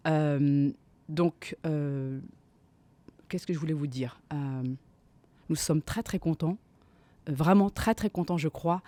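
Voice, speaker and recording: A woman's voice: low voice, slow pace, pauses often, no room sound. A woman lecturer hosting a literary event in a university library, introducing and thanking people while hunting for her words now and then. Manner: warm, searching for words, fillers. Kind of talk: welcoming introduction.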